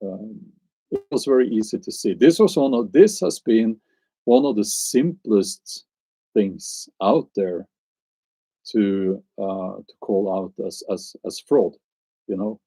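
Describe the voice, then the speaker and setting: Norwegian guy on a live stream, low gravelly voice, warm and mellow, mulls things over out loud. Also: takes it slowly, no echo, low-quality recording. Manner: hesitant, musing, searches for words. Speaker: live streamer answering chat. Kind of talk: casual live stream chat.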